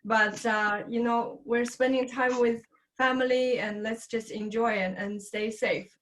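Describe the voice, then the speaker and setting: Woman hosting a video call, high voice. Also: fairly even intonation, a bit bright, poor audio. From China. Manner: friendly, unhurried, with many fillers. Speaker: host of an online business presentation.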